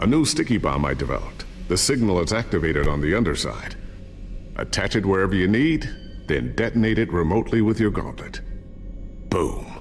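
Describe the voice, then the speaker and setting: An actor playing a loyal, careful inventor and trusted ally in a video game, speaking in a measured, reassuring way. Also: male voice, low-pitched, expressive, faint music behind. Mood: helpful, steady. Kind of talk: video game dialogue, explanatory.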